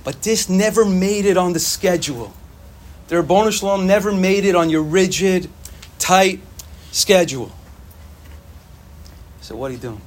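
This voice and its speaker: A young man whose first language is Yiddish, giving an informal, animated Torah talk to students and repeating phrases for emphasis. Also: high voice, moderate pace. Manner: animated, repetitive, sprinkles Hebrew and Yiddish terms.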